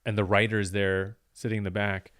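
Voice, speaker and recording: A man's voice: lowish voice, little pitch movement, moderate pace, fairly bright tone. A man, a comedian, telling a rambling story in casual chat and acting out the quoted lines.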